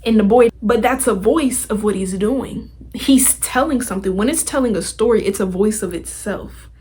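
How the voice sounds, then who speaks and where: A woman's voice: mid-range voice, moderate pace, a little mellow, slightly rough. A woman hosting a podcast, giving a casual motivational talk straight to listeners in an informal, slangy, emphatic way. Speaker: podcast host.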